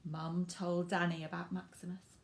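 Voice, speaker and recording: A woman reading a picture book aloud to children in an expressive way, stressing words for drama and speaking directly to young listeners. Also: lowish voice. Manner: animated, dramatic emphasis with drawn-out words and hushed asides.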